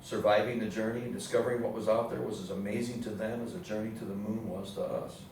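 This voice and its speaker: A man's voice: fairly low pitch, steady tone, fast, a little mellow. A man delivering scripted historical narration as the narrator of a live stage reading. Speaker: narrator in a readers theatre play. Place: live readers theatre performance in an echoey indoor space. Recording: very echoey room, distant mic, faint noise.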